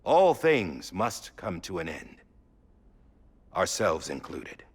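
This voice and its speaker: An actor playing a solemn statesman giving a heartfelt memorial address in a game cutscene, with measured, emphatic, husky oratory. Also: low-pitched, very animated, exaggerated voice. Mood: rousing. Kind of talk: formal public speech in a game cutscene.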